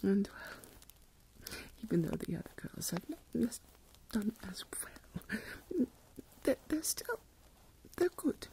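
A woman playing a fretful, self-pitying older mother in a period ASMR roleplay, whispering plaintively with melodramatic, drawn-out phrases. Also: mid-range voice, very animated, mellow, heavily acted. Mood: wistful, fretting. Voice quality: breathy whisper.

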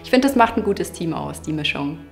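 A woman's voice: sits low, expressive, a little fast, creaky. A woman who works as a ski instructor, speaking in an interview for a promotional film. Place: plain indoor studio. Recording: faint music behind the voice.

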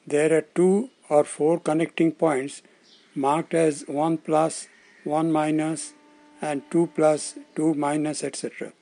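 A man presenting a tutorial in scripted instructional narration, explaining plainly in short, measured phrases. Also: a little high, little pitch movement, vocal fry, warm tone, faint music behind.

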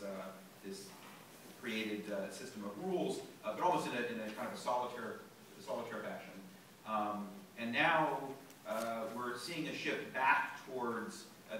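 A man's voice: fairly low pitch, fairly bright tone, slight background noise. A man on a panel answering thoughtfully, with many fillers and restarts, recorded from the audience of a large lecture hall. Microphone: phone recording from the audience. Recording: echoey hall from far off.

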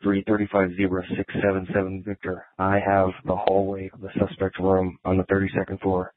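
A man's voice: low voice, completely flat delivery, fairly quick, no echo. An American male police officer giving call signs and procedural reports over police radio. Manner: procedural call-sign delivery. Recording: narrow, tinny police scanner radio.

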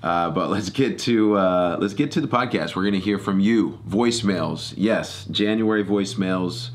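A man's voice: low-pitched, normal speed. A middle-aged American man hosting a podcast, chatting casually and rambling as he corrects himself. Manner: rambling, self-correcting storytelling. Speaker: podcast host. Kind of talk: casual solo podcast chat. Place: small home studio room. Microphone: close boom-arm podcast microphone.

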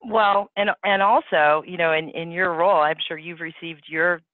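A man's voice: on the high side, steady tone, normal speed, dry recording. A man hosting a panel interview, asking questions in a chatty way with frequent restarts. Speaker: interview host. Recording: dry, tinny phone-like audio.

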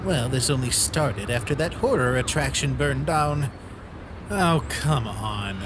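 A man's voice: medium pitch, expressive, measured pace, noisy background, close to an everyday voice. An actor voicing a skeptical, casual young man in a comic dub.

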